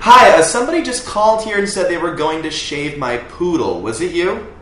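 A man's voice: on the high side, lively intonation, fairly quick, fairly bright tone, slight background noise. A man playing an indignant, self-important caller making a complaint as a prank. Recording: roomy living room recording.

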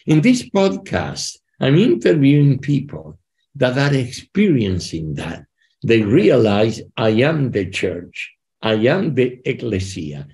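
An older man speaks from his living room on a video call, hosting with fervent, preacher-like emphasis. His delivery is slow and unhurried, with a gravelly edge and a lively, swinging pitch. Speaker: podcast host. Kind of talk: conversational interview.

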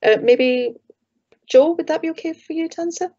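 A Scottish woman moderating a video call panel, her voice mellow and soft-edged. She speaks in a measured way as she passes on questions. The call audio sounds muffled. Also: high-pitched. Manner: measured, thanking and relaying questions. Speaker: event chair.

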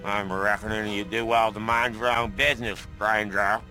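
Stern backwater constable, sharp, menacing, video game, faint music behind. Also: male voice, lowish voice, level tone, normal speed. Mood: cold warning. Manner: folksy, threatening. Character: hostile, stern old-fashioned small-town male constable. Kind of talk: video game cutscene acting.

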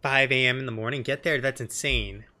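A man's voice: medium pitch, steady tone, brisk, pushed and bright. A man in his thirties giving casual, sweary live game commentary as a streamer in a room at home. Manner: sweary, colloquial. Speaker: streamer, let's player.

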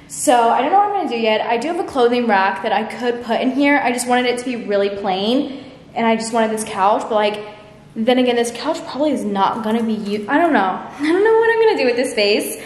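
A woman in her early twenties chatting casually to camera in an informal, filler-heavy way, as a lifestyle vlogger. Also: fairly high pitch. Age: early 20s. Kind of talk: casual vlog chat to camera. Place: large, mostly empty room in a house. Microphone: camera at arm's length or on tripod. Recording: echoey bare room with faint noise.

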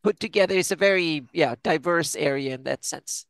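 A man hosting a casual lore discussion, talking haltingly with many restarts and repeated words. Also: on the high side, a bit bright, no room sound. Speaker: podcast host.

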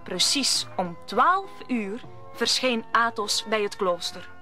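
Female narrator for audio drama, slightly high, bright storytelling read. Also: expressive, slow pace, soft background music.

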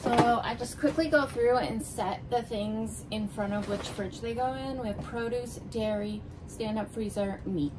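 A woman's voice: on the high side, a little slow, hardly any pauses, noisy background. A woman in her forties casually narrating household chores in short, offhand remarks as a family vlogger. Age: 40s. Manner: talks in short, offhand remarks while working.

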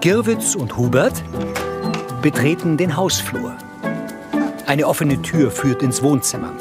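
A man's voice: on the high side, lively intonation, unhurried, loud music behind the voice. A man reading scripted present-tense narration as an audio describer of a drama.

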